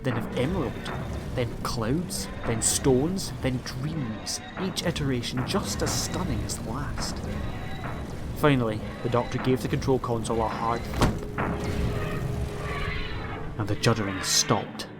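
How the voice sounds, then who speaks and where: A man's voice: medium pitch, unhurried, long pauses. Male audiobook narrator over music. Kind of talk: scripted dramatic storytelling. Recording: music behind the voice.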